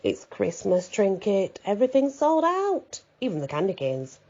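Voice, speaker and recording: Woman reading an easygoing teasing guy, husky. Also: mid-range voice, huge pitch swings, normal speed, darkish tone, highs cut off. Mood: resigned.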